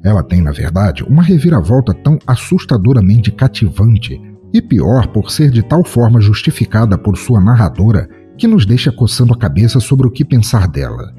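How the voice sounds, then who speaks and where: A man's voice: fairly low pitch, big pitch swings, normal speed, few pauses, warm tone. A man hosting a podcast, addressing listeners in a scripted, theatrical and wry, wordy style. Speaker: podcast host. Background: background music.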